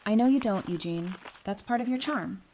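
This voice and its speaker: An actress playing a supportive woman doctor in an audio drama, speaking informally with gentle teasing and reassurance. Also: medium pitch, moderate pace, a little hiss or hum, close to an everyday voice.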